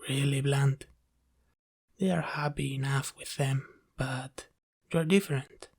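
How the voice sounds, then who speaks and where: A gentle male sleep paralysis demon, played by a man, speaks softly in a soothing ASMR roleplay. His mid-pitched voice stays even and moves very slowly, with pauses. He is tender and reassuring, like a caretaker easing someone to sleep. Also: vocal fry, slightly rough, full character voice. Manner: soft-spoken, reassuring, unhurried with pauses.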